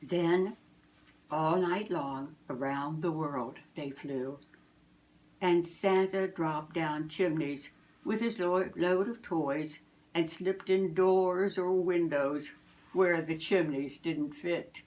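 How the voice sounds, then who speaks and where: An older woman, a grandmother, reading a children's storybook aloud in a deliberate, expressive way. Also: low voice, poor audio.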